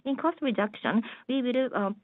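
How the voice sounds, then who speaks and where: A woman formally reading out a financial presentation in a halting, measured business manner, as a conference call interpreter. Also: a little high, no echo. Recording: tinny, dry phone-like line.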